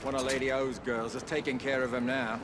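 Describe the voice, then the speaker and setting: A man's voice: mid-range voice, flat delivery, brisk, natural voice. Coarse English street thug, played by a man, noisy. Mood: gruff, casual. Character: coarse Victorian London gang thug. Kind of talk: video game acting.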